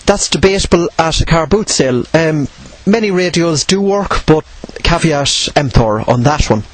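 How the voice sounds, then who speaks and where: Slightly husky man explaining, higher-pitched voice. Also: low-quality recording. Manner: measured, explanatory, poses questions then answers them. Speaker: presenter of instructional radio videos. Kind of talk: informal explanatory tutorial.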